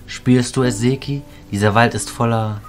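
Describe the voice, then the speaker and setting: A composed magician mentor , acted by a man speaks at a medium male pitch in a fantasy radio play. He is kindly, measured and formal. Faint guitar music runs behind the voice. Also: fairly even intonation, close to an everyday voice. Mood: grave.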